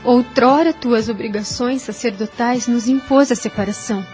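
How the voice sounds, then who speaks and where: Woman playing devout young woman, gentle, solemn, fairly high voice, Brazilian accent, radio drama, soft music behind. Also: moderate pace, natural voice. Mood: earnest, devoted. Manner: tender, solemn, formal phrasing.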